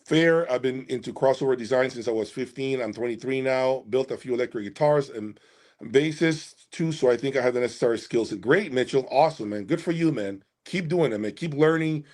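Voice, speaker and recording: A man's voice: medium pitch, a little fast, darkish tone. A man hosting a casual livestream about audio gear, answering viewers in an emphatic way and repeating phrases for emphasis. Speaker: host of an audio gear channel.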